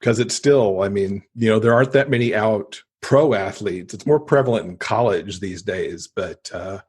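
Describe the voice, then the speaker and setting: A man's voice: on the low side, normal speed, darkish tone, slightly rough. A man speaking as a podcast guest in casual conversation, explaining at length with conversational asides.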